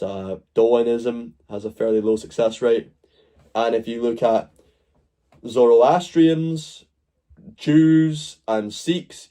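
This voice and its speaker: A man from Glasgow riffing on a live stream in a mellow, dark-toned voice. He rambles and jokes, with swearing sprinkled through his chat. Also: on the low side. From Glasgow, Scotland. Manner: rambling, joking, swears freely. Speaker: livestream host. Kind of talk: casual live-stream commentary.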